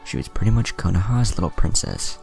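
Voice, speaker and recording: Man, story reader, gravelly, lively pitch, faint music bed. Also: fairly quick. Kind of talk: fan fiction reading.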